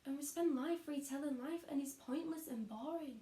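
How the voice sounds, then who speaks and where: Creaky-voiced, disillusioned female teacher, acted by a woman. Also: a little high, steady tone, moderate pace, mellow. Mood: frustrated, despairing. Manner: introspective, confiding, swearing. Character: Charlotte, disillusioned, weary young female schoolteacher. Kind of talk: dramatic stage monologue. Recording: echoey room.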